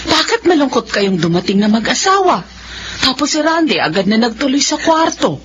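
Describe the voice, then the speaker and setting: A woman's voice: sits high, big pitch swings, moderate pace. A woman with a Filipino accent playing an anxious, caring older mother in a radio drama. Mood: worried. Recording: muffled, very noisy radio drama recording.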